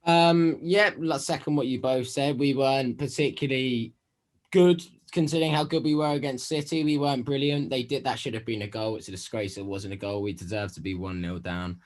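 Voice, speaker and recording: A man gives his view as a football podcast panellist. His voice is mid-pitched, and he talks casually in blunt, clipped bursts. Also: steady tone, brisk.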